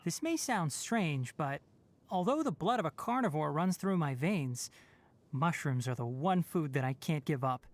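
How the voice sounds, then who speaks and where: A man's voice: fairly high pitch, lively intonation, measured pace. An actor playing a young male forest ranger with fox ears and a tail, composed, wry and knowledgeable, in video game voice lines. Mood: calm wry. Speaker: game character.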